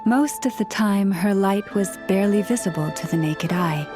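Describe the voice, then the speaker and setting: A woman playing a serene, modest young priestess in a video game, speaking in a measured, solemn, formal way. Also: medium pitch, over music, close to an everyday voice. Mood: solemn, reverent. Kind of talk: video game storytelling dialogue.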